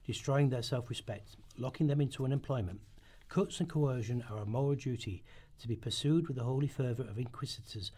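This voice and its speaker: A man's voice: mid-range voice, darkish tone, husky. A man reading formal, scripted narration as the narrator in a radio play rehearsal.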